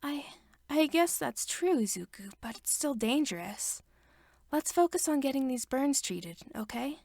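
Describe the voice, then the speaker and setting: Woman doing a soft-spoken worried mother. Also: high voice, lively intonation, unhurried, gravelly, no echo, clean studio sound, pitched above the performer's own voice, heavily acted. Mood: tender. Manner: soft, soothing, sometimes whispered. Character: gentle worried young mother, tender and protective.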